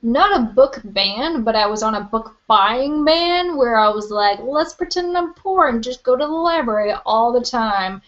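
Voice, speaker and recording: A young woman hosting a live book Q&A from her webcam, chatty and rambling with lots of "like" and "um", her voice a little hoarse. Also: a little high, lively intonation, a little slow, hardly any pauses, a bit bright, dull audio. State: slightly hoarse, losing her voice. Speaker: book vlogger. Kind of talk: live-stream Q&A.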